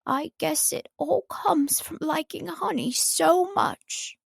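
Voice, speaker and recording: Lady doing a slow dim sweet honey bear for a kids storybook, musing, dry sound. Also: very high-pitched, gravelly, no room sound, pitched above the performer's own voice, full character voice. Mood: rueful. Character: gentle, honey-loving, dimwitted male toy bear. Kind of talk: children's storybook character voice.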